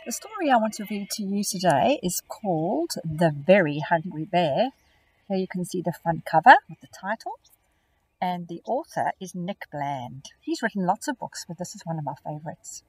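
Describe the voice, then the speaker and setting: A middle-aged woman teacher reading a picture book aloud to children in an expressive storytelling manner, recorded outdoors on a phone camera held close. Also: on the low side, normal speed, slightly rough, poor audio. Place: outdoors in a grassy field.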